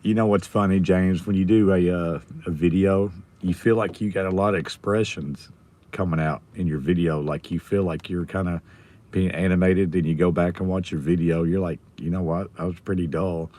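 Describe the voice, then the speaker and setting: A middle-aged man with a hoarse, raspy voice, casually chatting with viewers on his live stream. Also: sits low, steady tone, normal speed, mellow. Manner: rambling, chatting with viewers by name.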